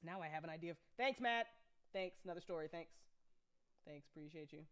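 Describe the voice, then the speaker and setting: A woman's voice: on the low side, brisk, dry recording. Informal, sweary woman host, close dry sound. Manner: animated, sweary, joking; informal speech with frequent y'all. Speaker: host and audio drama creator. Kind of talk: casual livestream chat answering audience questions.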